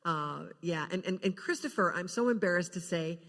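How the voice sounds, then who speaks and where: An older woman shares film memories as a panel guest. She speaks haltingly, often doubling back on words as she builds an anecdote. Her voice rings in a large, reverberant hall. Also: lowish voice, lively intonation, fairly bright tone. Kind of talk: panel reminiscence, anecdotal storytelling. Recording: echoey hall with long reverb.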